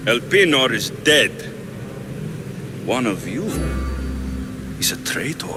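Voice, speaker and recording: Very slow, menacing cult leader. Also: male voice, mid-range voice, sing-song, music in the background. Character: commanding, menacing male cult leader hunting a traitor. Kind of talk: video game cutscene acting.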